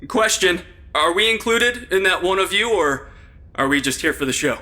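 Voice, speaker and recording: An actor playing an irreverent, jittery amateur sleuth in a comedy audio drama, casual and sarcastic. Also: male voice, sits high, animated, normal speed, bright, forceful tone.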